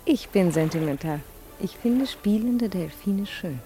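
A woman's voice: medium pitch, expressive, measured pace, mellow, lightly acted. An actress playing a playful, tender young woman in love, teasing and intimate in a 1950s radio play. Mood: tender, dreamy. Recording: 1950s radio recording, faint music behind.

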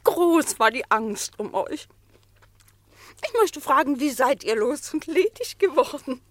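A very high-voiced, plaintive vixen. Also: female voice, lively intonation, a bit bright, no room sound. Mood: concerned. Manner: tender, plaintive, drawn-out. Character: anxious, devoted, plaintive vixen wife and mother. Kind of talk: classic German radio drama acting.

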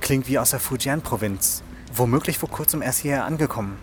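An actor playing an earnest, brooding police investigator in a German radio crime drama, speaking thoughtfully and insistently. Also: male voice, medium pitch, brisk, a little hiss or hum, natural voice. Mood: earnest, careful.

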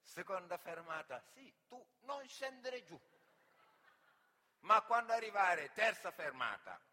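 A man's voice: sits high, big pitch swings, slow pace, pushed and bright, big-room sound, heavily acted. A man playing a helpful but patronising local passer-by who over-explains emphatically in broken, simplified phrases in a comic stage monologue. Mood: patronising, insistent.

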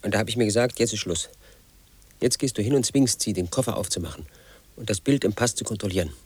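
Paranoid unravelling radio play man, low voice, husky, rambling. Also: expressive, fast, dry recording. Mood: agitated. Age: middle-aged. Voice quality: gravelly, husky. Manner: rambling, confiding, sinks to a whisper. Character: confused paranoid man, late 30s, unravelling. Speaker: lead actor.

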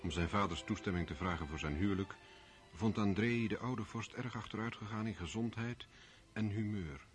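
A man's voice: lowish voice, moderate pace, faint music behind, close to an everyday voice. A man narrating a radio play in a scripted storytelling style.

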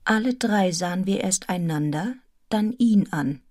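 An actress playing a serious, restrained young governess in a radio drama, telling her story in a measured, articulate, introspective way. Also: lowish voice, lively intonation, darkish tone. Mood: reflective, grave. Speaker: actor in a radio play. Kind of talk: literary radio drama narration and dialogue.

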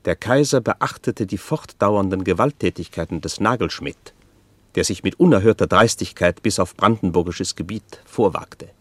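A sober chronicler in a historical radio play. He narrates in a measured, formal way with a lowish voice. The sound is dry and close. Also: no room sound. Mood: sober, grave. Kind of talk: scripted radio drama narration.

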